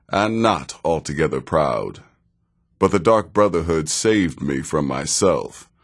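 A man playing a wry, sardonic middle-aged assassin in a fantasy video game, speaking in a dry, measured, sarcastic way. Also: extremely low pitch, full character voice. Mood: measured, grave. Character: wry, sardonic veteran male assassin handing out contracts.

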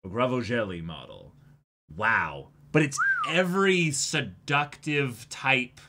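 A man in his twenties co-hosting a manga read-aloud, excitable and exclamatory, switching between dramatic reading and casual banter. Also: mid-range voice, takes it slowly, background noise. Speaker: co-host of a manga reading show. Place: indoor room, seated before a backdrop.

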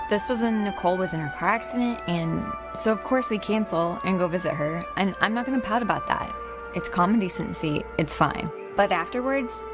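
A woman's voice: mid-range voice, natural voice. A young American woman voicing a shy, apologetic teenage girl in a video game, hesitant and often trailing off. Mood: apologetic, wistful. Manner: hesitant, trailing off, self-correcting. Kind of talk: naturalistic conversational video game acting. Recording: game soundtrack music under the voice. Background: music.

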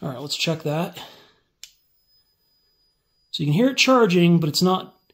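Man, slightly high voice, casual DIY tutorial, step-by-step explaining, phone mic over workbench. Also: unhurried. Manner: relaxed step-by-step explaining while working. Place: indoor workbench.

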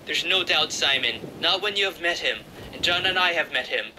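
A man's voice: a little high, expressive, moderate pace, background noise, voice pushed up, close to an everyday voice. A man voicing Andrew, a young fisherman disciple who talks in an eager, breathless way.

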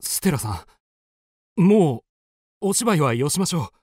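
Guy doing courteous intense suitor for radio drama, husky voice, pitch moving a lot. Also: big pitch swings, measured pace, darkish tone, dry recording, clean studio sound, full character voice. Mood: earnest urging. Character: earnest, courteous Japanese man, a romantic suitor.

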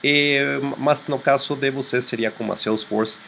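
Mexican man pitching in halting Portuguese. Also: fairly even intonation, vocal fry, fairly bright tone, a little hiss or hum. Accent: Mexican Spanish. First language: Spanish. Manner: earnest, hesitant with frequent fillers. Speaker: startup founder presenting a product demo. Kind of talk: screen-share sales demo. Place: small room indoors.

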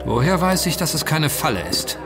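A man playing an unruffled, dryly witty gentleman adventurer in a German audio drama. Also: a little high, big pitch swings, normal speed, creaky. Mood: cool, curious. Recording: music behind the voice.

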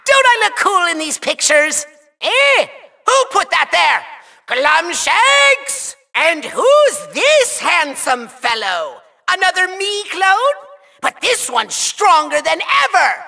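Shrill nasal cartoony game villain, petty boastful little overlord, whiny gloaty, swoops everywhere, super sharp. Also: male voice, big pitch swings, takes it slowly, vocal fry, full character voice. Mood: gloating, sarcastic. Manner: theatrical gloating, taunting, whiny outbursts. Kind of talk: over-the-top video game villain acting.

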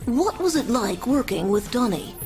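A woman's voice: on the high side, very animated, moderate pace, a little mellow, soft background music. A woman playing an upbeat interviewer in a video game.

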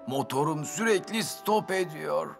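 A man's voice: a little high, lively intonation, a little slow, creaky. A man voicing an earnest, polite and friendly van in a children's cartoon dub, with music behind. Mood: troubled, then grateful.

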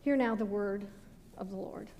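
A middle-aged American woman, a pastor, giving a sermon with measured, deliberate phrasing that falls away at sentence ends. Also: medium pitch, warm tone. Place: indoor stone-walled church sanctuary at a pulpit. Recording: reverberant church hall with background noise.

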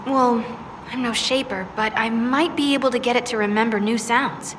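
An actress playing an excitable female dwarf arcanist who talks in chatty, rambling bursts. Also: fairly high pitch, normal speed, background noise, natural voice.

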